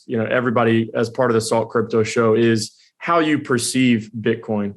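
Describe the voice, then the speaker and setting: A man hosting an interview show, asking long, winding questions. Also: medium pitch. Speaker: podcast host.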